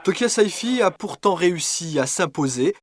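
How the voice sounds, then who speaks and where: A man's voice: sits high, animated. Earnest male documentary narrator. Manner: measured, earnest delivery. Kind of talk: scripted voice-over narration. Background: music.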